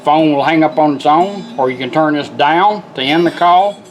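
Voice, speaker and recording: A man's voice: fairly high pitch, normal speed, faint music behind. A man giving an informal hands-on product tutorial in a folksy, step-by-step way. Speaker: product demonstrator.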